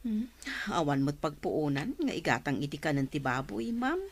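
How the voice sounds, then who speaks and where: A woman's voice: sits low, very animated, normal speed, heavily acted. A woman with an Ilocano accent playing a poor, patient, aggrieved village mother in an audio drama. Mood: deferential. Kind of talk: Ilocano radio-style drama acting.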